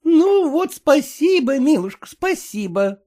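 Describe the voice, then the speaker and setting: Wheedling old matchmaker aunt, folksy and high-pitched, swooping around; a male narrator puts her on for a storybook reading. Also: very animated, takes it slowly, mellow, exaggerated voice. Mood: coaxing. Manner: coaxing, folksy.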